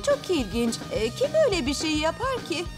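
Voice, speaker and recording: A woman's voice: high-pitched, wildly swooping pitch, a little fast. A woman voicing a vain, bossy teenage mummy princess in a cartoon dub, haughty, sarcastic and commanding. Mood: smug, sarcastic. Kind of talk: animated cartoon dubbing. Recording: background music under the voice.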